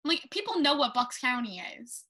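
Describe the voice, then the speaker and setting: A woman's voice: fairly high pitch, big pitch swings, a little fast, bright, forceful tone. A woman in casual conversation, mostly chiming in with short agreeing remarks. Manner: brief agreeing interjections. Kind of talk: casual chat.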